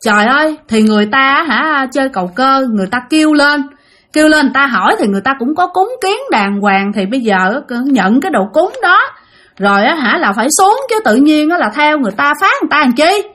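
A woman's voice: high voice, expressive, quick pace, a bit bright. A woman voicing an older male spirit master, insistent and firm as he reasons with and warns a spirit. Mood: reasoning. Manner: insistent, reasoning then sternly warning.

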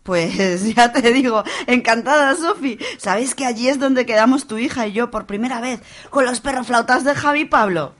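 A woman's voice: a little high, moderate pace, hardly any pauses, fairly bright tone. A woman acting a brash young party-loving woman in a radio soap, chatting slangily and exclaiming. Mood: amused, giddy.

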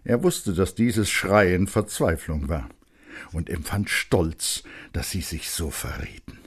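Male narrator for literary drama: deep, highly animated delivery, intense, with dramatic pauses. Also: slightly rough. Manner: expressive, intense, with dramatic pauses and hushed phrase endings.

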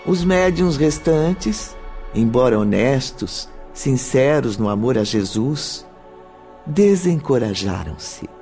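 Male narrator, Brazilian, formal and solemn, unhurried scripted radio drama storytelling with music bed. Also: mid-range voice, expressive, darkish tone. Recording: background music under the voice.

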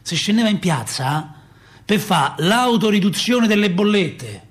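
Wistful working-class father, high male voice, Roman accent, colloquial radio-theatre monologue, emphatic repetitions. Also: lively intonation, unhurried. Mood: impassioned. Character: working-class Roman father recalling 1970s street politics, wistful, impassioned.

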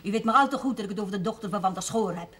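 An actress playing a domineering, indignant schoolmaster's wife in a television drama, stern, haughty and lecturing. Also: female voice, medium pitch, rapid-fire, a bit bright, natural voice. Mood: reproachful.

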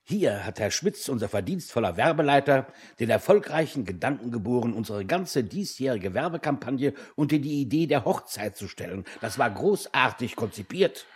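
Pompous, husky general director. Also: male voice, medium pitch, expressive, normal speed, few pauses. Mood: pompous, pleased. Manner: pompous, declamatory. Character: pompous, self-satisfied male general director addressing his managers. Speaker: actor. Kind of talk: radio play acting, boardroom speech.

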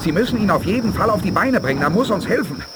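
An actor playing an urgent, authoritative leader in a 1980s science-fiction radio play, giving resolute, clipped orders. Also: male voice, high voice, very animated, fast. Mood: desperate, pressing. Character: commanding, urgent male space-age leader of a new world power. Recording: loud background noise.

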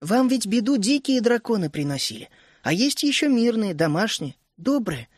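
A man voicing a shy girl who speaks in a soft whisper. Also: high-pitched, big pitch swings, moderate pace, no room sound, clean studio sound, pitched above the performer's own voice. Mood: timid.

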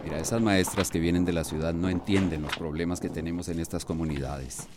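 An actor with a Costa Rican accent playing a proud, bossy widower who presides over a village association, in a radio drama. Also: male voice, sits low, steady tone, a little fast, natural voice. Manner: bossy, self-assured. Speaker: community leader. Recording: hissy old radio drama tape.

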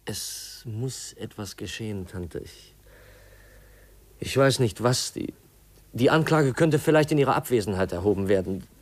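Low-voiced, earnest young man. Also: animated. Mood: subdued, hesitant. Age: young adult. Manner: hesitant, halting, formal. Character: earnest, troubled young nephew confronting his aunt. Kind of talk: 1950s German radio play acting. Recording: 1950s radio drama recording.

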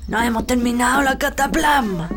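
An actress playing a bossy, superstitious country healer in a radio drama, admonishing and ominous, with a rural Chilean accent. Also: female voice, fairly high pitch, normal speed, background noise, lightly acted. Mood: scolding, caring.

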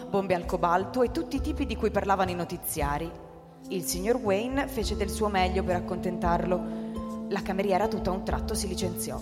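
A woman narrating a scripted literary story for a radio drama in a measured storytelling manner. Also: mid-range voice. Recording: long hall reverb, music behind.

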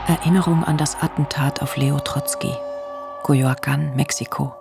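An actress giving sober, scripted scene announcements in a radio play. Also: female voice, low-pitched, fairly even intonation, moderate pace, creaky, loud music behind the voice.

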